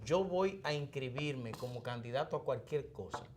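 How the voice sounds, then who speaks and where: A man from the Dominican Republic with a Dominican Spanish accent, giving morning political commentary in a deliberate, explanatory way with restarts and fillers. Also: medium pitch, lively intonation, slight background noise.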